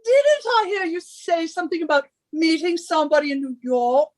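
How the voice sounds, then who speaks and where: A woman's voice: extremely high pitch, animated, moderate pace, a little mellow. A woman playing an imperious, henpecking wife in a radio suspense drama, nagging and scolding with theatrical emphasis. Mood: suspicious. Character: bossy henpecking British wife, shrill and imperious.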